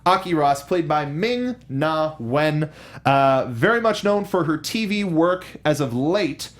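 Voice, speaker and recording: A man with a slightly high voice co-hosts a film review show. He talks casually and in an animated manner, tossing in mock outbursts as he discusses movies. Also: slow.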